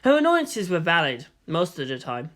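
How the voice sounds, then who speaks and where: A narrator reads fiction aloud in a medium-pitched voice. The delivery is expressive, with melodic rises and falls from phrase to phrase. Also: normal speed. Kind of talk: expressive fan fiction reading.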